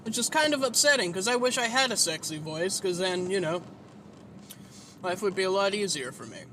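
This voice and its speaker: A man's voice: high voice, lively intonation, brisk, a bit bright, slight background noise. Nasal, whiny-voiced man rambling. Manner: rambling, self-deprecating, swears freely. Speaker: podcast host. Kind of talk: casual solo podcast monologue.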